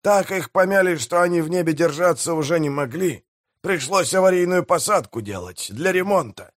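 A man's voice: on the high side, animated, normal speed, dry recording, studio quality, voice pushed up, natural voice. A man voicing a boastful, greedy pirate mayor in an audiobook, blustering and commanding in a theatrical way. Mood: gleeful, scheming.

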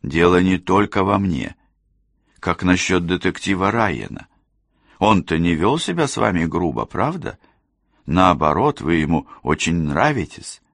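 A young policewoman in an audiobook, played by a male narrator, humble and pleading. The delivery is hesitant and strained with a gravelly creak, recorded very dry. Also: deep voice, sing-song, unhurried, fairly bright tone, dry recording, full character voice. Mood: desperate. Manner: hesitant, apologetic.

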